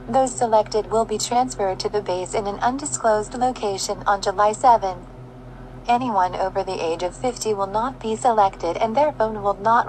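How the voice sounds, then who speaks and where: A woman's voice: medium pitch, a little hiss or hum, close to an everyday voice, low-quality recording. A woman playing a solemn broadcaster, reading official disaster instructions in a formal, composed bulletin style. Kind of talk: scripted news bulletin.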